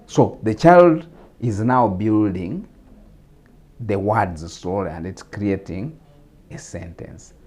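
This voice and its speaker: Deep-voiced Ugandan man explaining. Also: expressive, a little mellow. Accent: Ugandan English. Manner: measured, explanatory, with repetitions and fillers. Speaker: guest speaker on religious talk show. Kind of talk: explanatory talk show discussion.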